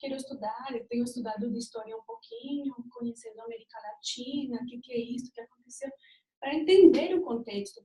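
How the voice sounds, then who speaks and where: A Colombian woman speaking Portuguese with a Spanish accent, giving a reflective, personal talk with frequent pauses and restarts. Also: fairly high pitch, mellow. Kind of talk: lecture-style talk. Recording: distant mic in an echoey room.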